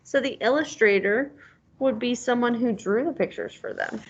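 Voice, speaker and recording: A woman's voice: on the high side, normal speed, a little hiss or hum. A woman reading a picture book aloud as a teacher, stopping conversationally to ask questions and explain words, on a dull lo-fi recording.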